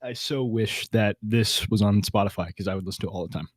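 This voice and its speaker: A man with a lowish voice hosts a casual podcast. His talk is informal and slangy, with a jokey, self-mocking streak, and the sound is close and dry. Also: fast talker, no room sound. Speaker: podcast host.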